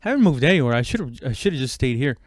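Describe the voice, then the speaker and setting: A man talking in a casual, rambling podcast monologue, halting and conversational, with frequent swearing. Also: mid-range voice, very animated, no room sound, clean studio sound. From immigrant, moved abroad at seven. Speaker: stand-up comedian podcast host.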